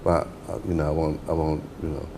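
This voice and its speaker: A man's voice: extremely low pitch, completely flat delivery, fairly quick, warm tone, slight background noise. A middle-aged man, an author, answering interview questions hesitantly, often repeating words before he finishes.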